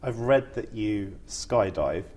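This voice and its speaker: A man interviewing a guest in a wood-panelled room, asking short, brisk, polite questions. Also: on the low side, expressive, a little mellow, a little hiss or hum.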